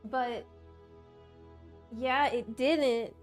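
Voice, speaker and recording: A woman game streamer casually thinking aloud in a halting, puzzled way as she plays. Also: a little high, fairly even intonation. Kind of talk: casual live playthrough commentary and dialogue reading. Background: video game music.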